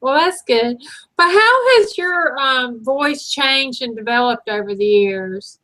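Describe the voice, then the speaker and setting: Host: woman, high and bright voice, encouraging, laughs with the guest. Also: lively intonation, slow, rough recording. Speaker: interview host. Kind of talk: live interview.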